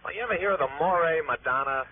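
A man playing a brisk, joking insurance man on the telephone in a 1960s radio drama. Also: a little high. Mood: businesslike. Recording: tinny, faintly noisy vintage radio phone voice.

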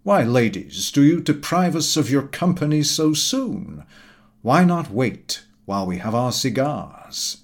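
A pompous gentleman intellectual from a comic novel, acted out by a man reading aloud. He speaks slowly and grandly in a rich voice, with self-assured weight and a lively rise and fall. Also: medium pitch. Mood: gallant. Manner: slow, weighty, pompous delivery. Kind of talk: audiobook character voice from comic novel.

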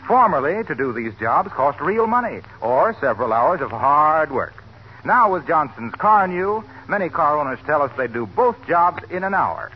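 An American man announces a sponsor's message in old-time radio style. His fairly high voice is animated and persuasive, on a muffled recording with faint noise. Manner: earnest, persuasive sales delivery. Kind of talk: scripted 1940s radio commercial.

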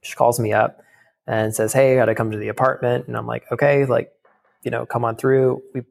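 A young man tells a personal story in an interview. He speaks in a casual, halting way, full of fillers and restarts. His voice has a gravelly fry at mid pitch. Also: flat delivery. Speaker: guest.

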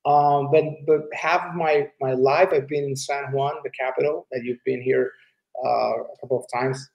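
A Puerto Rican man talks as a guest in a relaxed, halting way, often starting his sentences over. His voice is gravelly with a lot of fry. He speaks at a middle pitch for a man. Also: dull audio. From Puerto Rico. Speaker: interview guest, entrepreneur. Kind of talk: casual conversation.

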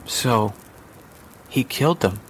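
A man's voice: medium pitch, animated, slow pace, slight background noise, poor audio. A man voicing a young girl in a storybook, questioning and unafraid, full of curiosity. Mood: curious.

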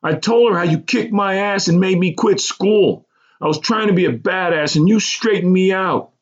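A man voicing a big, excitable former bully in a storybook, loud and overjoyed. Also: high-pitched, normal speed, muffled recording, voice pushed up, close to an everyday voice. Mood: overjoyed, eager.

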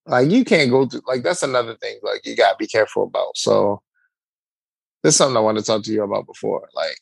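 A man's voice: fairly low pitch, fast talker, gravelly, slightly rough, dry recording, poor audio. A man in his forties in casual podcast chat, telling joking stories and acting out what people said. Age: 40s. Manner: animated, joking storyteller who acts out quotes. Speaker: podcast co-host.

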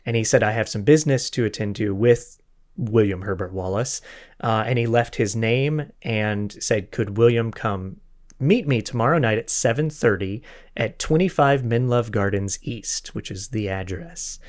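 A man's voice: on the low side, moderate pace. A man hosting a podcast, retelling a historical mystery in an informal, conversational way with joking asides and self-corrections. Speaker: podcast host telling a true crime case.

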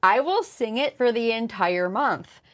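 A woman's voice: fairly high pitch, animated, measured pace, fairly bright tone. A woman co-hosting a casual chat for a coffee company, chatty and laughing often. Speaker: coffee company staff co-host.

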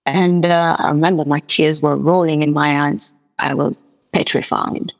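A woman from Mauritius whose first languages are French and Creole speaks in a slightly low voice. She is a musician telling her story conversationally, circling back over words. The sound carries a long reverb. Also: fairly even intonation, measured pace, gravelly. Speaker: guest musician and singer-songwriter.

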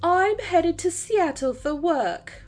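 A woman's voice: very high voice, expressive, slow, darkish tone, faint music behind, voice pushed up, heavily acted. A woman voicing a nervous, over-polite traveler with a put-on English accent, theatrically grand.

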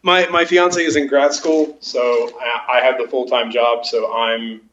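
A young man joins the chat as a guest over a video call, his voice mid-pitched and a little muffled. He stumbles on his first words, then rambles on in a self-mocking way. The call sounds roomy and echoey. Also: little pitch movement, a little slow, a bit bright, a little hiss or hum. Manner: stammering, self-mocking and chatty. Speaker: podcast guest. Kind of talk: casual chat. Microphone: video call over a game console.

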